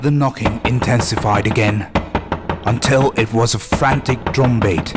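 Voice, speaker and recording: A man's voice: medium pitch, fairly even intonation, unhurried, very noisy. A man reading a scripted first-person horror story as narrator, with music behind him.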